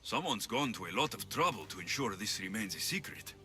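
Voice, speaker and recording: An actor playing a confident, sardonic ancient Greek mercenary hero in a video game, speaking in a wry, blunt way. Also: male voice, fairly low pitch, sing-song, brisk, bright, forceful tone. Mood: impatient. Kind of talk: video game character acting.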